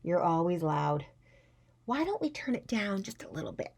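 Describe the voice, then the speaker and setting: A woman voicing a gentle, patient female schoolteacher in a children's storybook, coaxing quietly. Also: fairly low pitch, moderate pace, lightly acted. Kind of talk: read-aloud children's storybook character.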